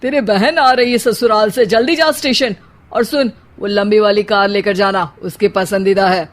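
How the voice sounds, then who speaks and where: A woman's voice: mid-range voice, fairly even intonation, normal speed, slight background noise, natural voice. A woman voicing a boastful, bossy, hot-tempered middle-aged father in a cartoon story. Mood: bossy, scolding. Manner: blustering, commanding.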